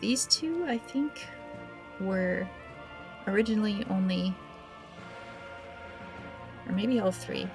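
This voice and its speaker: A woman's voice: medium pitch, lively intonation, slow pace, long pauses, over music, rough recording. A woman hosting a gaming video with casual, awkward, self-deprecating commentary, speaking hesitantly. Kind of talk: casual let's play commentary.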